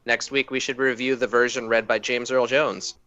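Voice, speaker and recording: A man's voice: medium pitch, flat delivery, moderate pace, pushed and bright, no echo, dull audio. A man co-hosting a podcast with wry, jokey casual banter. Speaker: podcast co-host.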